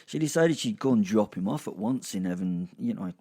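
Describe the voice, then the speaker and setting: A man's voice: lowish voice, lively intonation, a little fast, dark tone, breathy edge. A man hosting a podcast in a casual, rambling way, chatty and dryly jokey, often interrupting himself. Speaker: podcast host and narrator. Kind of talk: casual rambling recap to listeners. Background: music under the voice.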